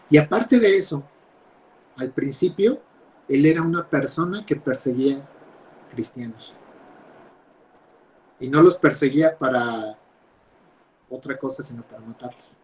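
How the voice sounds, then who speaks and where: A young man preaching a sermon over a video call, emphatic, with loud stresses and quiet drops. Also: a little high, poor audio. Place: home, speaking over videoconference.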